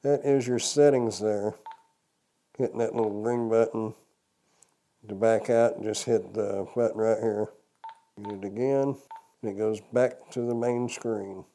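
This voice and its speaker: A man's voice: lowish voice, mellow. Older man giving a casual product demo. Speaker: product reviewer. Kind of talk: casual hands-on product review.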